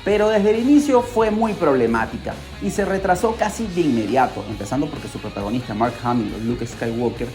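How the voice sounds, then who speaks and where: A man narrates as a podcast host, with music behind his voice. His delivery is casual and animated, like someone telling a tale to friends, peppered with rhetorical questions and asides. The pitch sits in an ordinary middle range. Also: fairly quick, few pauses. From Peru.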